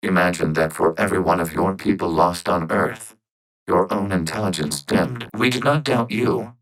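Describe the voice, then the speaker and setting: A low-voiced male robot with a synthetic, filtered sound. The delivery is formal and measured, each statement precise and logical. It is a calm, unemotional machine intelligence from a science fiction video game. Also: rough recording. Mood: flat, analytical.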